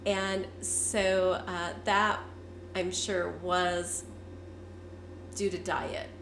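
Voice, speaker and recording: A fifty-year-old woman, hoarse and congested from a cold, chatting candidly to her viewers. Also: fairly low pitch, flat delivery, drawn-out words, a bit bright, echoey room, slight background noise. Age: 50s. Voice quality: raspy. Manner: candid, chatty, with frequent fillers. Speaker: vlogger. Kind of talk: casual talk to camera.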